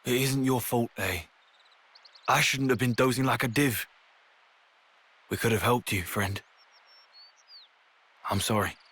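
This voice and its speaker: A man's voice: mid-range voice, unhurried, pauses often, fairly bright tone, a little hiss or hum, close to an everyday voice. A man voicing a scrappy, easygoing young fighter in a video game, with a British accent and slangy, informal speech. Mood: somber, remorseful. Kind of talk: video game cutscene acting.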